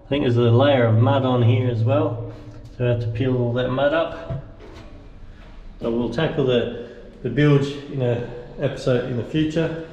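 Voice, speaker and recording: A man's voice: medium pitch, steady tone, normal speed, pauses often, mellow, lo-fi sound. A man in his thirties giving a casual, plain-spoken walk-through of repair work, thinking aloud as he goes. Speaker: boat restorer and vlogger. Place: inside a bare steel boat hull under restoration. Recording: echoey metal room, background noise.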